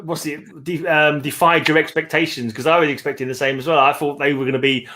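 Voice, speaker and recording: A man's voice: a little high, fairly even intonation, fairly quick, bright, forceful tone, a little hiss or hum. A man in a casual book discussion, tentative and often rephrasing his thoughts. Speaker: read-along book discussion participant.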